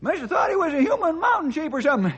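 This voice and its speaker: Very high-pitched old mountaineer. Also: male voice, sing-song, very fast, fairly bright tone, full character voice. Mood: urgent. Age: older. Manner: folksy, ungrammatical frontier talk. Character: crusty old mountaineer sidekick, boastful, folksy. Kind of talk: old-time radio adventure acting. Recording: dull vintage radio drama recording.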